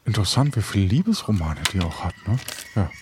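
A man's voice: on the low side, sing-song, quick pace. A man playing an earnest, awkward adventurer in an improvised audio drama, speaking hesitantly and politely. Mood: friendly. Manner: hesitant, polite, tag questions. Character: Sören, earnest, awkward young male pirate adventurer. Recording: faint background noise.

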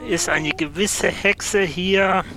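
A man playing a stubborn, probing detective in an improvised audio drama, speaking tersely and deliberately. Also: high-pitched, steady tone, pushed and bright, heavily acted. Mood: insistent. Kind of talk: improvised audio drama acting by a listener-player.

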